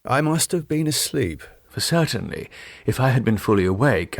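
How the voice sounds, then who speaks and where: A man narrating a gothic novel in the first person, in an expressive, measured, theatrical way. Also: on the low side. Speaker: audiobook narrator.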